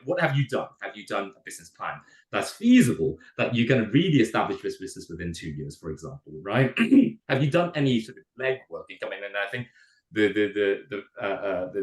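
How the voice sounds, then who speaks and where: Fast-talking hoarse man, very deep. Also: echoey room, poor audio. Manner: fast, with many repeated words and restarts. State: hoarse from a cold. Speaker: immigration adviser. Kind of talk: webinar presentation.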